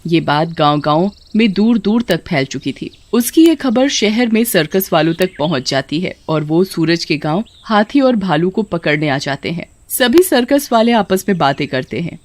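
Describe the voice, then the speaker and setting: Casting: storyteller for scripted kids' tales, very animated intonation, dramatic delivery. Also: medium pitch, normal speed, few pauses. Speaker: narrator. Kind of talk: scripted children's story narration in Hindi.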